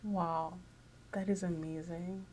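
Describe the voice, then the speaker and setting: A woman's voice: lowish voice, fairly even intonation, takes it slowly, darkish tone, slight background noise, low-quality recording. A young adult woman hosting a podcast, upbeat and encouraging with her guest. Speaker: podcast host. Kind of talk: podcast interview.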